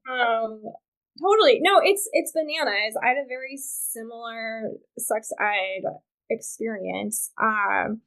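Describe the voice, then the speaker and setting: Candid, higher-voiced woman guest. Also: takes it slowly, poor audio. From Wyoming, US. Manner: animated, candid, comic storyteller. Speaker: podcast guest, reproductive rights advocate. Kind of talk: casual chat, storytelling.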